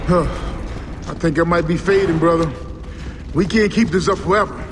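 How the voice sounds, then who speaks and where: An actor playing a brash, weary, wisecracking soldier in a video game, casual and irreverent. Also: male voice, a little high, normal speed, noisy background, lightly acted. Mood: exhausted. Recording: long reverb, music behind.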